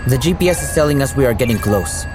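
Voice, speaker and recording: A man plays a laconic male operative in an audio drama. His mid-pitched voice is guarded and understated, with music clearly behind it. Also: animated, quick pace, natural voice. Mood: observant. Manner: terse, understated.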